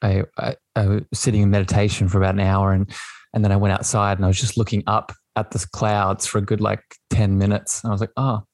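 A man's voice: on the low side, little pitch movement, quick pace, no echo. A man hosting a podcast, talking in a gushing, spiritual way with his guest. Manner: warm, gushing, spiritual phrasing. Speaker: podcast host. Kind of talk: casual podcast interview.

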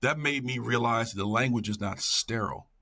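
A man's voice: medium pitch, moderate pace, gravelly, bright, forceful tone. A middle-aged man talking casually and passionately as an interview guest, emphatic and repeating phrases for effect. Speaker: podcast guest, educator.

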